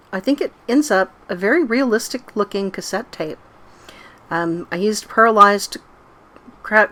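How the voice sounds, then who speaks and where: A woman's voice: medium pitch, a bit bright. A middle-aged woman narrating a casual craft tutorial as its host.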